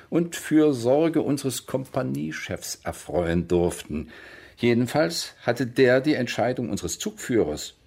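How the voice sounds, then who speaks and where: An actor playing a wry former soldier who recounts his past in colloquial German radio drama monologue, with a gravelly, husky voice. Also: on the low side, darkish tone, full character voice.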